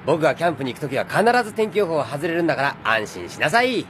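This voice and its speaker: A man voicing an easygoing young male biology teacher, brusque but relaxed. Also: a little high, very fast, a little hiss or hum.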